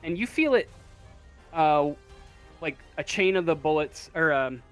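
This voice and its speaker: A man narrating a tabletop game as game master in a casual, halting, improvised way. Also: fairly high pitch, lively intonation, faint music behind. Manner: halting, hesitant, casual delivery.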